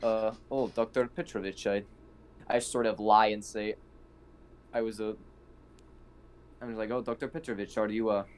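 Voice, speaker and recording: A man's voice: lowish voice, steady tone, moderate pace, long pauses. A man playing in a tabletop roleplaying game, stumbling and restarting as he speaks. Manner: hesitant, stumbling over words. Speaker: player. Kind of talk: casual tabletop play.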